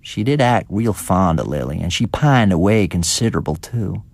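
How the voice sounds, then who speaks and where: A man's voice: sits low, expressive, moderate pace, gravelly, a little mellow, no echo, heavily acted. Man voicing folksy, gossipy old village woman. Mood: indignant. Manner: folksy, rustic, gossipy dialect. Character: elderly unmarried village woman gossiping indignantly about neighbours. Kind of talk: dramatised short-story reading.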